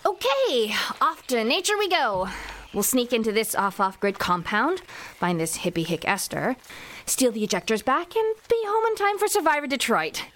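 A woman's voice: fairly high pitch, big pitch swings, moderate pace, a bit bright, a little hiss or hum. A woman playing a brash, sarcastic young heroine. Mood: determined. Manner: casual, sarcastic.

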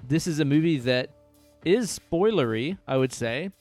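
A man with a quiet voice co-hosting a podcast, talking casually about a movie and swearing freely. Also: a little high, soft background music. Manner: relaxed, swears casually, drawn-out emphasis. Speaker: podcast co-host.

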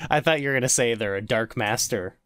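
Man, mid-pitched, dry studio-free-of-echo sound, casual joking co-host chat. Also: fast talker, a bit bright. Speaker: podcast co-host.

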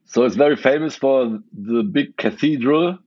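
A man's voice: medium pitch, normal speed, no room sound, lo-fi sound. A man from Hungary who grew up in western Germany, talking in a casual interview, hesitant and full of restarts and fillers. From Hungary, raised in western Germany. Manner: hesitant, many restarts and fillers, laughs often. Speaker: interview guest, designer and illustrator.

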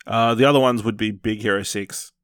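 A man's voice: lowish voice, steady tone, a little fast, fairly bright tone, no room sound, studio quality. A man hosting a podcast, chatting casually and steering the conversation between segments. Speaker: podcast host. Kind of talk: casual chat, moderating segments.

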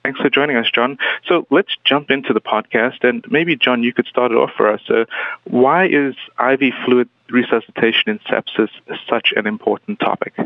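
A man's voice: mid-range voice, moderate pace, vocal fry, no room sound. A man hosting a podcast interview in a polite, formal way with some fillers. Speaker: podcast host and editor. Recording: tinny phone-like line, dry.

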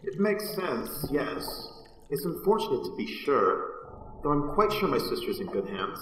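A courteous, deferential period gentleman speaks formally, with a lively, swooping melody. It is radio-play acting in a reverberant hall with faint noise behind. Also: a little high, a little slow. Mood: courteous, concerned. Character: polite, deferential brother of the patient, period gentleman. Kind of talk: theatrical radio drama, period diction.

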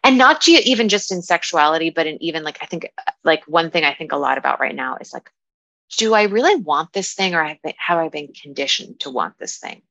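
Woman coach as podcast guest: lowish, bright, forceful voice, halting and reflective, thinking aloud. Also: animated, no echo. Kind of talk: reflective conversational interview.

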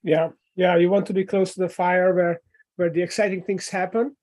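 A woman from Eastern Europe asks her guest questions, rephrasing as she thinks. Her voice is warm and mellow, with no bright edge. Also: fairly low pitch, little pitch movement, moderate pace. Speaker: interviewer. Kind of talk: interview questions.

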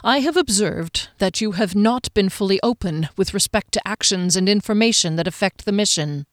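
A woman voicing a proud male fantasy captain in an audiobook, formal, deliberate and intense, holding down his anger. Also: mid-range voice, dry recording, studio quality, lightly acted. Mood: stern, restrained.

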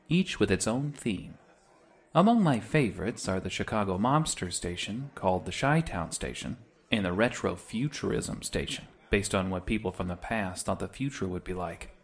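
An actor playing a sarcastic young freedom fighter in a sci-fi audio drama. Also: male voice, fairly low pitch.

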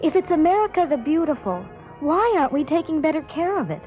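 A woman's voice: high voice, sing-song, quick pace. A woman making a plain-spoken appeal in a public service announcement. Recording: dull lo-fi radio spot over music. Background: music.

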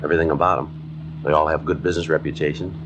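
An actor playing a terse, matter-of-fact police detective in a 1950s radio drama, with flat, deadpan delivery. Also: male voice, very deep voice, fast, noisy background. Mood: businesslike. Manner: deadpan, businesslike. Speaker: narrator and lead actor of a police series. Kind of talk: 1950s radio drama acting, scripted narration. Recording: 1950s radio recording with music.

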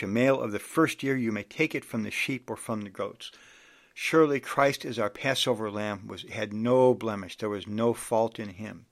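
A man's voice: medium pitch, gravelly, fairly bright tone. A man in his sixties preaching an earnest sermon, reading scripture aloud and exhorting his listeners. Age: 60s.

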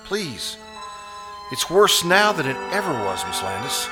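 A man's voice: medium pitch, sing-song, unhurried. An actor playing a desperate, sleepless, nervous man who hesitates and stammers in old-time radio suspense style. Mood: desperate, pleading. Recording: live stage performance with music.

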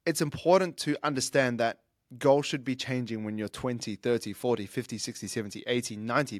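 A man's voice: mid-range voice, dry recording, clean studio sound. A man in his thirties hosting his own show, talking through life lessons in an earnest, reflective way, often restarting his sentences. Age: 30s. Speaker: podcast host, fitness coach. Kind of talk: casual monologue.

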